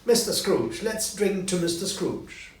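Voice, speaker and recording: A man voicing a gentle, peaceable poor father in a storybook, with an earnest manner. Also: sits high, animated, unhurried, roomy sound, far from the mic, slight background noise, voice pushed up. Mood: hearty. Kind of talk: dramatic audiobook character reading.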